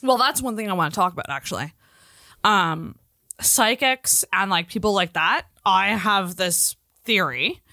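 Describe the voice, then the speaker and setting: A woman's voice: medium pitch, bright, forceful tone, no echo, studio quality. A woman co-hosting a podcast, chatting casually and emphatically, with informal wording and some swearing. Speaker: podcast co-host. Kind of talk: casual, opinionated chat.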